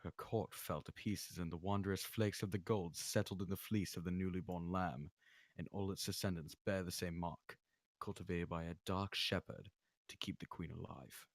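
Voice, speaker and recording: A man at a table read playing a grave knight, solemn and portentous, in the manner of one telling dark legends. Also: sits low, steady tone, moderate pace, no echo. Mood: solemn, ominous.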